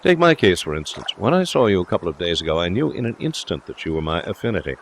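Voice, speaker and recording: A man voicing a brash, talkative young gentleman with a complacent, pompously philosophical manner, in a storybook reading. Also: low-pitched, very animated, fast, slight background noise. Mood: confident, persuasive. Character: brash, self-assured young gentleman philosopher, cheerfully smitten.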